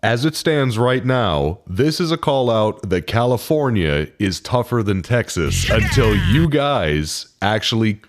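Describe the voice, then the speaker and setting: Man, podcast co-host, low voice, very lively intonation, casual banter, teasing, foul-mouthed. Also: measured pace, few pauses, soft background music.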